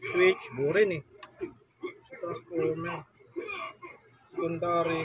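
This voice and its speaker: A man's voice: on the high side, level tone, slow, background noise. A man with a Filipino accent casually narrating a repair tutorial, chatting informally to viewers as a repair vlogger. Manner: informal, addresses viewers chummily.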